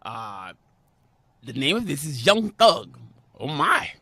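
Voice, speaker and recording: A man's voice: medium pitch, very animated, takes it slowly, bright, forceful tone, dry recording. A man giving comic, mock-serious commentary as a segment host, in a theatrical, mock-refined manner.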